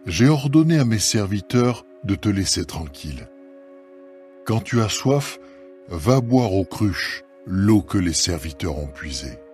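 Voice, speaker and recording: A man's voice: deep voice, big pitch swings, takes it slowly, a little mellow, faint music behind, exaggerated voice. A man playing a kindly, authoritative older landowner in a dramatised scripture reading. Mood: kindly, reassuring.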